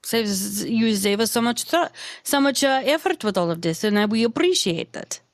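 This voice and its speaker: A woman's voice: fairly high pitch, animated, moderate pace, dry recording. A woman in a tabletop roleplaying game, speaking scornfully and stopping to search for words. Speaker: player.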